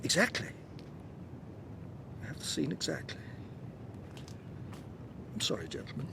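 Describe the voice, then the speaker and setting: An actor playing an older English retired surveyor, a polite witness who speaks hesitantly and apologetically in a radio play. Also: male voice, fairly high pitch, very animated, unhurried, creaky, noisy background, full character voice. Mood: flustered, reliving. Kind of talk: British radio drama acting.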